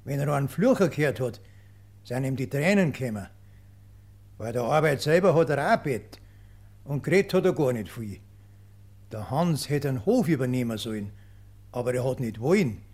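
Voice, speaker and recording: Bavarian village farmer testifying in a radio play, middle pitch, plain folksy earnest talk. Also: male voice, expressive, moderate pace, long pauses, darkish tone.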